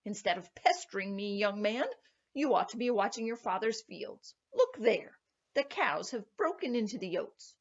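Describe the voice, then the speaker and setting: A sly little old leprechaun from a storybook, acted out by a woman reader. He talks in a sharp, wheedling way, cross and crafty. The recording is slightly dull. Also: mid-range voice, sing-song, moderate pace. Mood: sharp, scolding. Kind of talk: storybook character voice.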